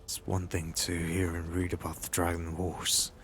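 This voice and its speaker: A man playing a nervous, excitable fantasy warrior in a video game roleplay, muttering to himself and calling out. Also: low voice, moderate pace, slight background noise. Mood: hushed, nervous excitement. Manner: hushed muttering to himself, breaking into shouts. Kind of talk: in-character game roleplay commentary.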